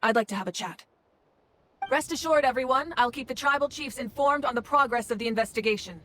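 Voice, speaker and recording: An actress playing a composed female leader of a nation in a video game, speaking in a measured, formal, grave way. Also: high voice, a bit bright, slight background noise. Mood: calm, formal. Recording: music under the voice.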